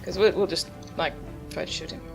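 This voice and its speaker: Woman gamer rambling along wryly, lots of vocal fry, game music behind her. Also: low voice, fairly even intonation, brisk. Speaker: gamer narrating her play in a video series. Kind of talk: casual gameplay commentary.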